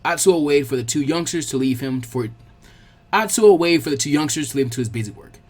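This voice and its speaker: A man's voice: on the high side, lively intonation, fairly quick, a little mellow. A man reading scripted fan fiction narration as an audiobook narrator.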